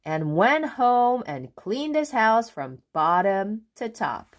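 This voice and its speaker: Expressive woman reading a storybook, very lively pitch. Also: takes it slowly. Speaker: children's book reader. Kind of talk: expressive storybook read-aloud.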